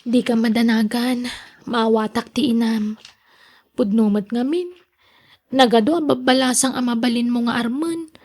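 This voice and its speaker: An actress playing a meek, sorrowful young daughter, subdued and reluctant, in radio drama style. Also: female voice, on the high side, fairly even intonation, normal speed, pauses often, a little mellow. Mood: sad, downcast.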